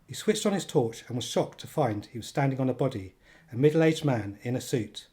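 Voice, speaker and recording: An actor narrating a comic thriller radio play as a measured, deadpan storyteller, precise and dryly wry. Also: male voice, medium pitch, lightly acted.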